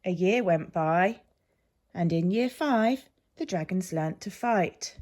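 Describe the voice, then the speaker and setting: A woman reading a children's picture story aloud with expressive, emphatic delivery, like an infant school teacher. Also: lowish voice. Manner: animated, measured storytelling with emphasis.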